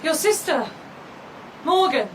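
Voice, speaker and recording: An actress playing an urgent, protective and frightened sister in a radio drama, speaking with earnest pleading. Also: female voice, high-pitched, very animated, slow, full character voice. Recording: noisy ambience behind the voice.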